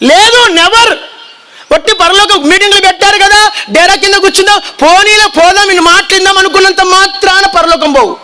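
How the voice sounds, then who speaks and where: High-pitched, fiery preacher man. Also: steady tone, fast. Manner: shouting, repeats lines and makes the crowd repeat them. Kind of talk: fiery sermon.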